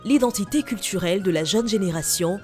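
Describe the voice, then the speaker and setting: A woman narrating a television news report in a steady, measured reading voice. Her pitch sits in an ordinary middle range. A soft music bed plays quietly underneath her. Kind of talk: scripted news voice-over.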